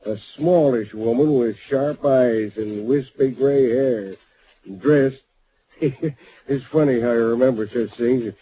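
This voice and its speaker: Actor playing a folksy old ranger. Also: male voice, medium pitch, takes it slowly, dark tone, a little hiss or hum, lightly acted, rough recording. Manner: folksy, drawling, hesitant with "uh". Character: folksy old male desert storyteller, the Old Ranger. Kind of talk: 1940s radio acting. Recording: old radio broadcast recording.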